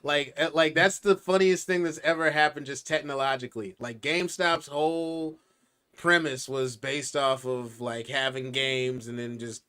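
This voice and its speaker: Podcast co-host, male, American, opinionated and profane, with a forceful, clean voice. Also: medium pitch, fairly even intonation, normal speed, clear, resonant tone. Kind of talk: casual chat.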